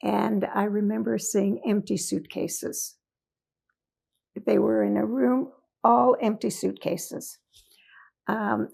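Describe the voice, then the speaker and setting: A woman in her eighties, originally from Hungary, giving a recorded oral history interview in Toronto, speaking haltingly with many pauses and fillers. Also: mid-range voice, gravelly, darkish tone. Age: 80s. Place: indoors in Toronto.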